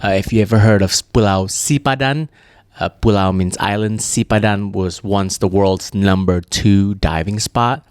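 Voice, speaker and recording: A man's voice: on the low side, normal speed, clear, resonant tone, no room sound, clean studio sound. A young man from Malaysia co-hosting a podcast, chatting casually and animatedly with lots of fillers. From Malaysia (Sabah, Borneo). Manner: animated, rambling with many fillers. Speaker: podcast co-host. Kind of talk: casual chat.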